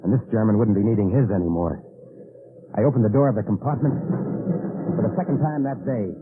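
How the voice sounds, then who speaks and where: An actor playing a weary, desperate Allied agent in an old-time radio drama, narrating in a tense, confessional manner. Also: male voice, on the low side, a little fast, heavy background noise, close to an everyday voice. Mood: tense recollection. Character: weary, desperate male Allied agent behind enemy lines. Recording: tinny old radio recording, background music.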